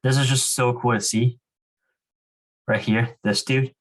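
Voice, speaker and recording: A young man speaks at an unhurried pace in a medium-pitched voice. He leads a children's reading club, casually explaining things and reading aloud. His phrasing is informal, full of small conversational asides. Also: steady tone, low-quality recording. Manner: informal, frequent "like" and "you know". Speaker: student reading club leader.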